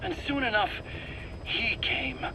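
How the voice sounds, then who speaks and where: A man's voice: high voice, wildly swooping pitch, slow pace, heavily acted. A man playing an anxious, defensive weapons scientist, hesitant and stammering, in a video game drama. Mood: frightened, pleading. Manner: hesitant, stammering, pleading and self-justifying. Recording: clear background noise, interrogation tape.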